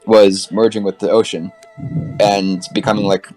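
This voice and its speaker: Low-voiced man in casual fan chat. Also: little pitch movement, moderate pace, a little mellow, low-quality recording. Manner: rambling, filler-heavy with frequent "like". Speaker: podcast panelist. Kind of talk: casual fan discussion of an animated series. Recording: music playing behind voice. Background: music.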